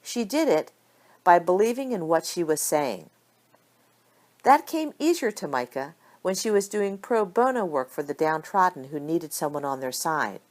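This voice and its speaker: A woman reading a story aloud as an amateur audiobook narrator, with expressive delivery. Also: lowish voice, moderate pace. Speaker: audiobook reader of fan fiction.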